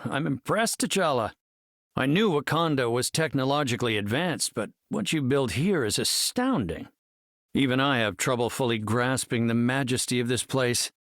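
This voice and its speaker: An actor playing a brilliant middle-aged scientist superhero in a video game, articulate, formal and earnest in his measured delivery. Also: medium pitch, a bit bright, no room sound, clean studio sound. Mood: calm, impressed. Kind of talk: scripted game character voice lines.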